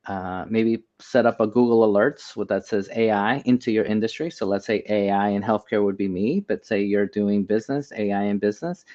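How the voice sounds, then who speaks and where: A man's voice: on the low side, brisk, few pauses, darkish tone. An American man speaking earnestly and conversationally as an interview guest, on dull, lo-fi audio. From United States. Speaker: interview guest, healthcare AI expert and doctor. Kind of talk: conversational interview, advice and personal stories.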